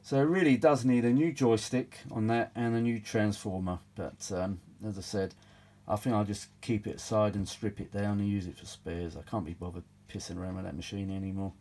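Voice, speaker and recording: A man's voice: on the low side, steady tone, fairly quick, darkish tone. A British man chatting casually and ramblingly as he works on his hobby, in a grumbling, world-weary, mildly sweary manner. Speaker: vintage audio repair hobbyist presenting his own videos. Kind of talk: casual rambling workbench chat.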